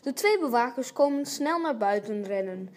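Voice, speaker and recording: A woman's voice: fairly high pitch, lively intonation, moderate pace, darkish tone. A woman narrating an amateur radio play as a storyteller.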